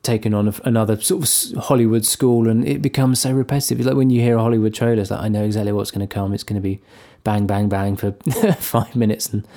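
Low, very mellow-voiced man chatting. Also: fairly even intonation, quick pace, hardly any pauses. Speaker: guest composer. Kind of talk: casual interview chat.

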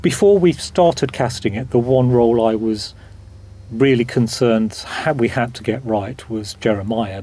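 A man's voice: medium pitch, mellow. A man from a drama production team talking in a behind-the-scenes interview. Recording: clear background noise and ambience.

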